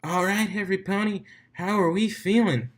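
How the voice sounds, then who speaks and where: Brash female cartoon pony DJ for a storybook, performed by a man: pitched up, cocky, slangy, built to hype a crowd. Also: fairly even intonation, moderate pace, close to an everyday voice. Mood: hyped showmanship. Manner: boisterous, slangy showmanship.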